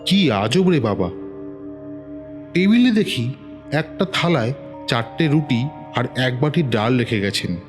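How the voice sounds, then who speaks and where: A man narrating a first-person horror tale in a measured, suspenseful manner. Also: medium pitch, animated. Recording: long reverb, music underneath.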